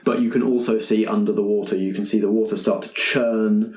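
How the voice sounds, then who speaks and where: Low-voiced man narrating, echoey room. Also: steady tone, darkish tone. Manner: second-person scene-setting in halting phrases. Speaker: game master. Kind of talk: improvised narration of a tabletop game.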